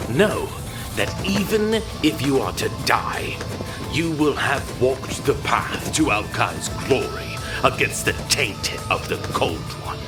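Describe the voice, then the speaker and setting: A solemn angelic champion , acted by a man, declaims to soldiers with reverence. The words come slowly with sweeping pitch, over clearly audible music in a fantasy drama. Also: lively intonation. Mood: solemn exhortation. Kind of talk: grand fantasy audio drama oratory.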